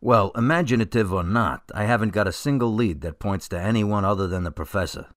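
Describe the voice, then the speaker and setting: A man acts a police officer in a radio drama. The voice is on the low side, with plain, matter-of-fact delivery. He comes across as skeptical and defensive. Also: fairly quick, no room sound, clean studio sound, natural voice.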